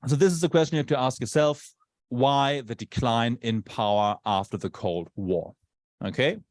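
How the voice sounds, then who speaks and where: A man's voice: mid-range voice, moderate pace, darkish tone, no echo. A male university lecturer who is not a native English speaker, giving a conversational lecture and often checking in with tag questions. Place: lecture room.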